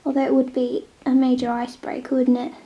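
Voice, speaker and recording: A young woman with a cold, chatting casually while drawing at home, often dropping into a soft near-whisper. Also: high-pitched, monotone, quick pace, lo-fi sound. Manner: chatty, drifting between soft near-whispers and normal talk. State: snotty with a cold. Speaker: vlogger drawing on a tablet. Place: home, at a table indoors. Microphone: camera on the table.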